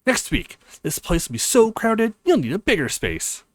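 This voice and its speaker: A man's voice: sits high, sing-song, moderate pace, dry recording, voice pushed up. A man voicing an earnest, reassuring young male college student in a visual novel, coaxing and confident.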